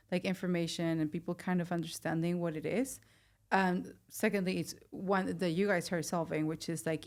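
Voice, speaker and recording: Female interviewer, Mexican accent, somewhat low register, relaxed chatty podcast style. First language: Spanish. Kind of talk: casual conversational interview.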